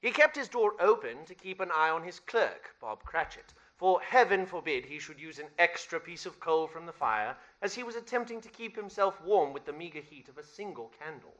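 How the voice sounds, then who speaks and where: Man as high, bright theatrical storyteller. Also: animated, moderate pace, few pauses, dull audio. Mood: dramatic, emphatic. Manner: theatrical, emphatic. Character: emphatic, theatrical storyteller narrating a Dickens radio play. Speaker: narrator. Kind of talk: dramatic scripted narration.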